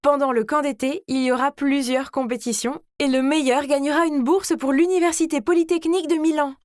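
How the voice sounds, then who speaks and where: A woman voicing a vain, scheming teenage girl who tells her own animated story in a smug, theatrical way. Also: high-pitched, a little fast, no echo, studio quality, lightly acted. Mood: boastful, excited. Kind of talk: dramatized first-person story narration.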